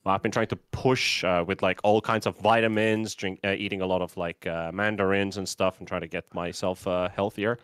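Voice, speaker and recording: A man with a Bulgarian accent doing live esports commentary, a bit nasal and slightly sore from a flu, relaxed and chatty with many fillers. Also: low voice, fairly quick, hardly any pauses, smooth, dry recording, studio quality. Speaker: esports caster.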